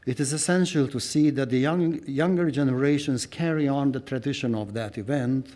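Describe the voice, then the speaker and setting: Older male event speaker, mid-range pitch, formal and deliberate. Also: warm tone. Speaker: event speaker introducing guests. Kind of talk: formal prepared speech.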